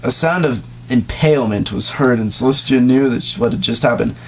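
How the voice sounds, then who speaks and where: A man's voice: medium pitch, fairly quick, slightly rough. A man giving an informal mock dramatic reading, joking in asides and stumbling over words now and then. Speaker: amateur reader of comedic fan fiction. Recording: amateur recording with background noise.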